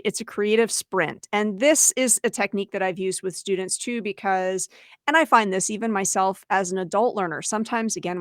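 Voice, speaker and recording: A woman's voice: mid-range voice, no echo. Woman presenting, close dry sound. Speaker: webinar co-host, educator. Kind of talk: conversational webinar presentation for teachers.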